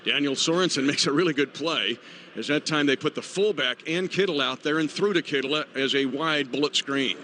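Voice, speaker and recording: A man's voice: on the high side, moderate pace, bright, forceful tone. An American man calling football play-by-play live on a radio broadcast. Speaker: play-by-play announcer. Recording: noisy stadium radio broadcast. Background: stadium noise.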